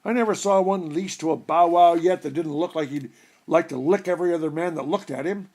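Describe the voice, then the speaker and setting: Man voicing a cheeky streetwise dog, higher pitch. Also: a little fast, a little mellow, close to an everyday voice. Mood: curious. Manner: slangy, colorful insults and pleading. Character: wisecracking male yellow pet dog, cheeky and streetwise.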